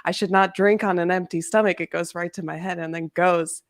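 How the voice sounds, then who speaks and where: A woman in a casual book discussion, speaking in an informal, exclamatory and animated way. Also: lowish voice, a little fast. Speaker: guest discussing a novel in a readalong livestream.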